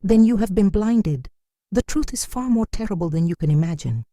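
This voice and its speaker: A narrator voicing a sinister cloaked stranger in a folktale, taunting and cryptic, making grand pronouncements with eerie calm. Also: medium pitch, moderate pace, dry recording, clean studio sound, voice pushed up, close to an everyday voice. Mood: scornful, menacing. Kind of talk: dramatic folktale storytelling.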